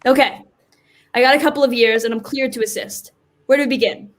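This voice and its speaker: A woman's voice: fairly high pitch, fairly even intonation, a little fast, lightly acted. A woman actor in a staged play reading, playing Death as an exasperated, reluctant office worker with an emphatic, conversational delivery. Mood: reluctant, earnest. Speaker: actor in a community theater reading.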